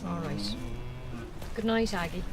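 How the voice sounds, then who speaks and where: A woman's voice: fairly low pitch, very animated, measured pace, music in the background. A woman playing a plain-spoken, supportive Irish farm wife in a radio drama, with an Irish accent and an earnest, colloquial way of talking. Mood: concerned.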